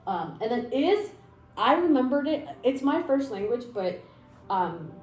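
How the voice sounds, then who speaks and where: A woman's voice: on the high side, big pitch swings, measured pace, a little mellow. Young woman, casual language lesson, chatty and encouraging, roomy echo, faint music behind. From Mexico. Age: 20s. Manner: chatty and encouraging, with fillers and asides. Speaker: language teacher.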